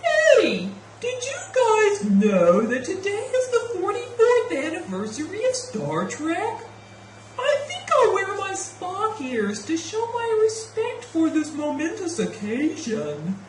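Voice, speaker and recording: Annoying, corny puppet-show ghost: extremely high pitch-shifted voice, drawn out, roomy. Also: female voice, wildly swooping pitch, slow, far from the mic, full character voice. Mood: gleeful, teasing. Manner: jokey, corny, self-amused. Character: large annoying goofy ghost, chatty show-off. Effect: digitally altered pitch. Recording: roomy sound with faint noise.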